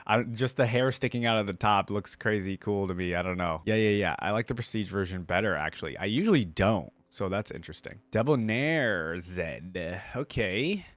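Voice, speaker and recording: A man's voice: fairly low pitch, expressive, normal speed, hardly any pauses, a bit bright, no room sound. A man hosting a reaction video, giving informal, exclamatory commentary as he thinks aloud.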